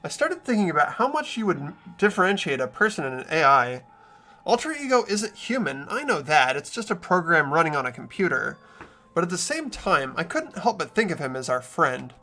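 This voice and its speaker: A man's voice: a little high, sing-song, a little fast, sharp tone, full character voice. A man voicing an earnest, thoughtful teenage hero, narrating and speaking in amateur game voice acting. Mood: earnest, troubled.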